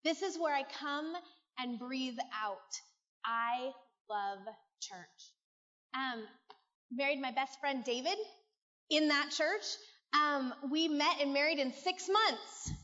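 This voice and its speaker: Middle-aged woman, American accent, high voice, heartfelt testimony, long reverb of a large hall. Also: slow pace, pushed and bright. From California, United States. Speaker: guest giving personal testimony. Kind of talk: personal testimony to a church audience. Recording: muffled, echoey large hall.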